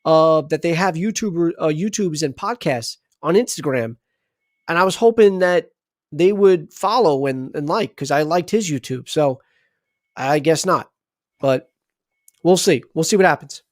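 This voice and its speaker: A chatty man hosts a sports livestream and rambles through his sign-off. His voice sits a little high for a man. The sound is dry and close. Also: measured pace, no room sound. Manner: rambling, chatty, many restarts. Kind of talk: casual sports livestream talk.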